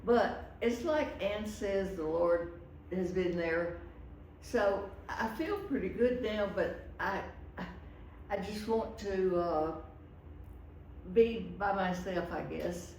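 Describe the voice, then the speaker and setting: A woman in her eighties speaking slowly and reflectively, with halting pauses, about her life. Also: mid-range voice, a little mellow, a little hiss or hum. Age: 80s. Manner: slow, halting, thoughtful. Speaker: interviewee. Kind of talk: reflective casual talk. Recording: echoey room, distant mic.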